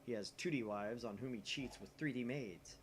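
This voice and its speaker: A man reading a visual novel aloud in theatrical, expressive narration. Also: mid-range voice, normal speed, a little hiss or hum. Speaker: gaming channel host.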